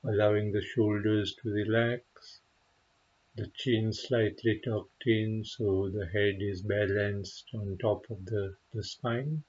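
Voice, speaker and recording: Male meditation guide, lowish husky voice, gentle and very unhurried delivery, slightly muffled recording. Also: flat delivery. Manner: gentle, unhurried, pausing often. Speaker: meditation teacher.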